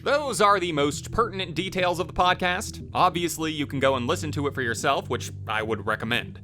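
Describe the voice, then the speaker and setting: A man hosting a video, delivering scripted review narration into a close voice-over mic. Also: a little high. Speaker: host, game reviewer. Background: faint music.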